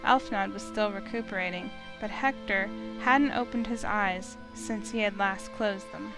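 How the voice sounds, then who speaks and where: A woman's voice: mid-range voice, fairly even intonation, measured pace, music in the background, poor audio. A woman reading scripted story narration as the narrator of a visual novel.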